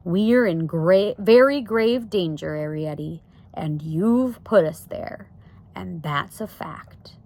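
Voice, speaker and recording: A woman's voice: lowish voice, darkish tone. A woman reading a storybook aloud, voicing a grave, cautious father who speaks firmly in a folksy, ungrammatical way. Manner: folksy ungrammatical speech, measured. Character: grave, steady, plain-spoken father, cautious and firm.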